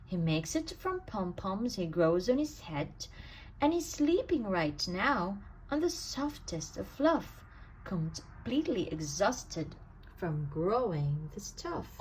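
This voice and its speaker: Woman, children's storybook read-aloud, unhurried pace, lively swooping intonation, emphatic rhymes. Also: mid-range voice, a little hiss or hum, poor audio. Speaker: storybook reader.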